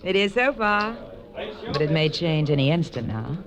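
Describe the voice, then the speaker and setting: A woman's voice: low voice, expressive, a little slow, a crowd in the background. An American actress playing a sultry, loyal female gambling-house shill in 1940s radio noir style. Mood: anxious, devoted. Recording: muffled old radio drama recording.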